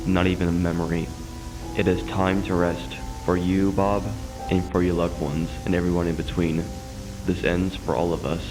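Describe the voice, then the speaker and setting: Ominous horror-cartoon mastermind, male actor: deep register, slow grave delivery, menacing calm, music behind. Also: low-quality recording. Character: grave ominous man delivering a final doom speech. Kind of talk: scripted analog horror narration.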